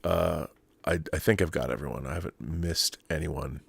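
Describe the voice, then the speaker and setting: A middle-aged man hosting a casual livestream, talking emphatically and haltingly, often repeating words and rephrasing as he thinks aloud. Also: very deep voice, vocal fry, slightly rough, no echo. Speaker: livestream host. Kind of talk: casual live chat answering viewer messages.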